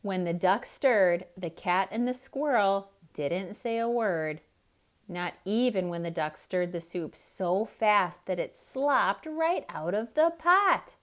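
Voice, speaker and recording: A woman performs a picture-book read-aloud for young children. Her delivery is dramatic and expressive at a slow overall pace, with explanatory asides to the listeners. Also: fairly low pitch. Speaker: children's storyteller.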